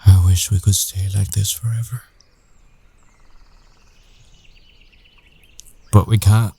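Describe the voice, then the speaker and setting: A man playing a tender, weary werewolf ex-boyfriend in an intimate ASMR roleplay, with hushed, halting delivery. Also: fairly low pitch, steady tone, gravelly, husky, full character voice. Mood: tender, reluctant.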